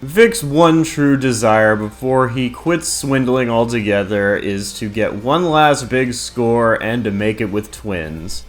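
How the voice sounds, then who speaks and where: A man's voice: medium pitch, lively intonation, slow pace, hardly any pauses. Male countdown host, casual and opinionated, profane and jokey, music underneath. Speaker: host of an entertainment countdown video. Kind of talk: casual, opinionated scripted review narration.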